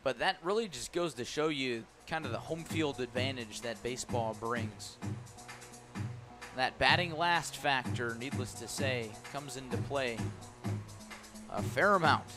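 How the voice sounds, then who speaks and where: Male radio play-by-play voice for baseball, medium pitch, playful and animated on big moments, some music behind. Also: measured pace. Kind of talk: live radio sports commentary. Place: live at a ballpark broadcast position.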